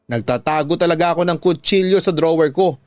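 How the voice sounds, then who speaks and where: A Filipino man hosts a radio story program in a fairly high male voice. He reads letters as dramatic first-person narration and speaks as an advice giver. The sound is close and dry, with no room around it. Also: a little fast, a little mellow, dry recording.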